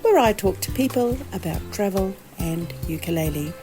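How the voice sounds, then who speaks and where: A woman's voice: on the low side, lively intonation, moderate pace, mellow, soft background music. A woman hosting a podcast interview over a video call, effusive and lavishing praise on her guest. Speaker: podcast host.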